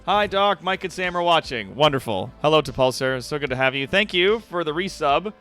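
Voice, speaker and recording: A man's voice: fairly high pitch, lively intonation, brisk, fairly bright tone, faint music behind. A man hosting a livestream, giving a dramatic reading of fan fiction with wry, sweary asides to viewers. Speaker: livestream host.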